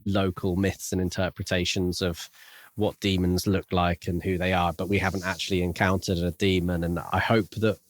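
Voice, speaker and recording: A man's voice: low voice, flat delivery, moderate pace, no room sound, clean studio sound. A man co-hosting a podcast, musing aloud about a book in a casual, conversational way. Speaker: podcast co-host. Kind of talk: book discussion, casual analytical chat.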